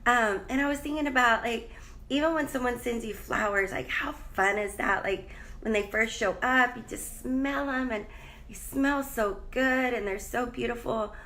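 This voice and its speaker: A woman's voice: fairly high pitch, normal speed, fairly bright tone, poor audio. Chatty, congested woman life coach. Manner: chatty, rambling, greets viewers by name. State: congested, slight cough. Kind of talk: casual live-stream chat. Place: hotel room.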